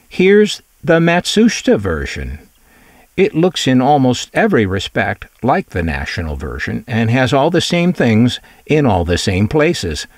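A man's voice: mid-range voice, no echo, clean studio sound. A man presenting a collector's showcase in scripted narration, with wry, conversational asides. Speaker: presenter of vintage radio collection videos.

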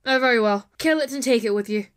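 An actress playing a brisk, slightly huffy sister who keeps geese, in a dramatic audiobook reading. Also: female voice, a little high, close to an everyday voice.

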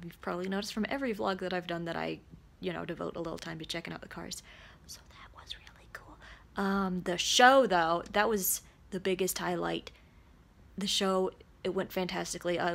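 A young woman with a creaky voice, chatting casually and candidly to camera in a rambling vlog, recorded at arm's length in a small room. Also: on the low side. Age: young adult. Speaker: vlogger. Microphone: phone or camera at arm's length.

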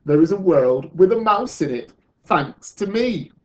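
A storyteller voicing excitable, wide-eyed children in a storybook read aloud. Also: medium pitch, unhurried, pitched above the performer's own voice, low-quality recording. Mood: excited pride. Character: excitable, giggly children marvelling and doubting in a storybook.

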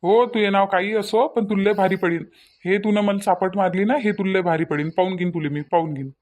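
A man's voice: high-pitched, fairly even intonation, a little fast, darkish tone, natural voice. A man voicing a cocky, insistent young village loafer in a cartoon comedy, boastful and wheedling, with a Varhadi Marathi accent. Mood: wounded, threatening. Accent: Varhadi Marathi from Vidarbha.

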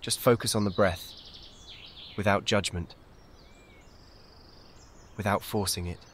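A man guides a meditation as scripted narration, speaking calmly in measured, soothing, short and gentle instructions. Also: on the low side, slight background noise.

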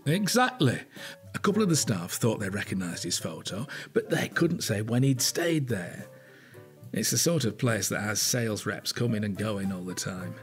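An older British police inspector in an audio drama. His voice is fairly low, and he talks in a dry, weary, natural way. Faint music can be heard. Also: very animated, moderate pace. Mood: exasperated.

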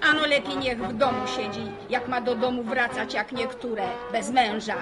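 An actress playing a sharp-tongued, gossipy middle-aged village wife in a radio drama. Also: female voice, fairly high pitch, fairly even intonation, normal speed, over loud music, natural voice. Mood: needling. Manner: needling, gossipy.

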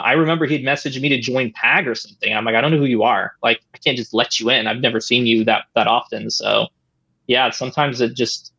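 Husky man recounting stories casually. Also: medium pitch, highs cut off. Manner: relaxed, rambling with many "I mean" and "right?". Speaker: interview guest, former esports player. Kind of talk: casual storytelling. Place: home room, indoors. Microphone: studio mic on boom arm, headset.

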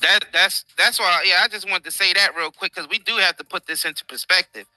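A man's voice: sits high, brisk, pushed and bright, no room sound, rough recording. An American man hosting an impassioned livestream commentary in emphatic, repetitive, informal slang, close on the mic. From United States. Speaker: show host. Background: faint background music.

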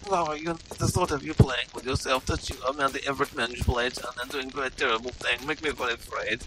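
A man voicing a prim, bewildered male game streamer who stammers in halting broken English. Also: sits low, noisy background, natural voice. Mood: alarmed. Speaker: gameplay host. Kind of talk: improvised comedy gameplay commentary.

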